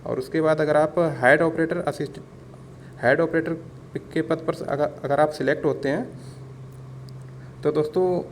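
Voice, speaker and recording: A man's voice: on the high side, fairly even intonation, unhurried, pauses often. A man giving an informal explainer lesson as an instructor, repeating himself, addressing listeners as friends and mixing in English words. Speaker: exam-prep instructor. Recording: clear background noise.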